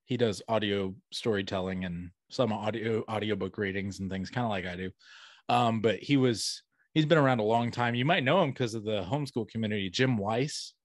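A man's voice: on the low side, a little fast, dry recording. A middle-aged man speaking as a podcast host in a casual, enthusiastic, rambling conversation, close on a studio microphone. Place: small home room, video call. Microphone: close studio mic on boom arm.

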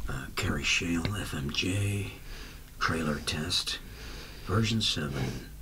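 An actor playing an exacting, laconic film director in a radio drama, with an understated, deadpan delivery. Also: male voice, extremely low pitch, heavy background noise. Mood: impassive, exacting. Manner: deadpan, unhurried.

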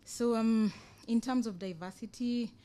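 A young woman with a Kenyan accent gives an informal panel presentation as an NGO project officer, explaining in a measured way with hesitant pauses. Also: fairly high pitch, warm tone. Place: conference room, indoors, panel table. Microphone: table microphone on a stand.

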